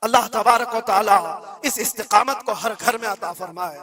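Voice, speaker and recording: High-pitched, forceful Indian male preacher. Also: moderate pace. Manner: emphatic, exhorting, repeats phrases for weight. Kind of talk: impassioned religious sermon.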